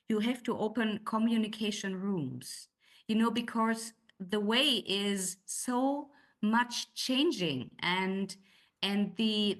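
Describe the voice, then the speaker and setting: A woman's voice: mid-range voice, fairly bright tone. A woman with a German accent speaking on a conference panel, often restarting and searching for words in English. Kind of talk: panel discussion.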